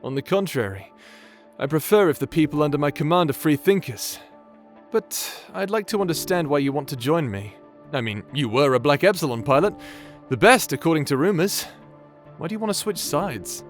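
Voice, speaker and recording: Man acting a calm, shrewd space pirate captain. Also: a little high, faint music behind, natural voice. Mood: calm, probing. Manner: measured, calm, probing. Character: composed, shrewd space pirate captain, fair-minded commander. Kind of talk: audio drama acting.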